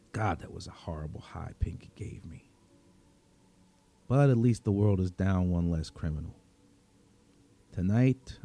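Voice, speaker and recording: A man narrating a dramatic story in the first person as a cynical, wry, menacing vigilante vampire, with sardonic, slangy delivery and dramatic pauses. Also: low voice, dark tone. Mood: weary, anticipating.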